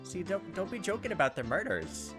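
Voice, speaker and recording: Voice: medium pitch, very animated, brisk. Casual let's player with game music behind. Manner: reacts with asides to the story. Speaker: let's player reading a visual novel aloud. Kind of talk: casual gameplay commentary mixed with narration read aloud.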